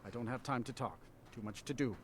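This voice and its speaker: Grumbly village guy voiced by an actor, medium pitch, fed up and suspicious, fantasy game, faint background ambience. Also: fairly quick, noisy background, natural voice. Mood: bitter, worried. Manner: grumbling, bitter. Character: disgruntled, suspicious male townsman fed up with his ruler. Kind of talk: dramatic game dialogue.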